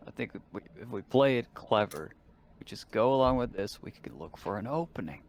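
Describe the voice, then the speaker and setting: A man's voice: fairly low pitch, expressive, brisk. A man playing a tabletop roleplaying game, talking casually and arguing his case with plenty of swearing. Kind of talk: casual in-character table talk.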